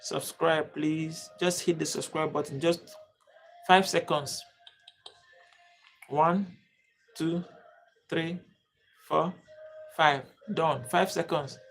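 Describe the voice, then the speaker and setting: Guy doing a live lesson, kinda high gravelly voice, keeps begging people to subscribe. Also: fairly even intonation, slow, long pauses, slight background noise. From Nigeria. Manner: repetitive, pleading appeals to viewers. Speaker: livestream host and teacher. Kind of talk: live-streamed personality lesson.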